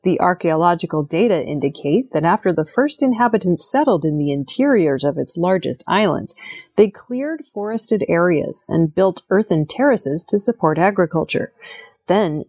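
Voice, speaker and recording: A woman newsreader narrates scripted stories in a somewhat low register. Her voice has a noticeably husky, breathy grain as she presents the items. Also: a little mellow. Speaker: news reader.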